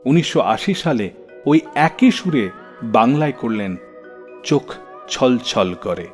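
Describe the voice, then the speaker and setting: Animated male radio host, reverberant. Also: mid-range voice, unhurried. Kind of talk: scripted storytelling radio narration about music. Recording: long reverb, faint music behind. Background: faint music.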